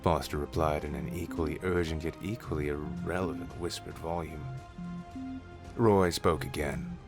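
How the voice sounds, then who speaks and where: A man's voice: rumbling low, fairly even intonation, normal speed, over loud music. A man narrating a scripted story as its narrator.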